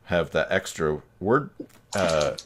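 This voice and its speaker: A man's voice: sits low, lively intonation, slow. A man chatting casually on an online video call, in a slightly hesitant, rambling way. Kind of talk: casual video call chat about web tools.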